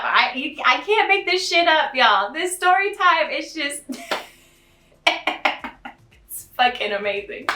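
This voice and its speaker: Satirical storyteller, feminine American voice, bright and unhurried, sarcastically sweet and theatrical, heard in an echoing room. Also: extremely high pitch. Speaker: storytelling host in a drag elf persona. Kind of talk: satirical storytime to camera, mock fairy tale. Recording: roomy, echoing sound.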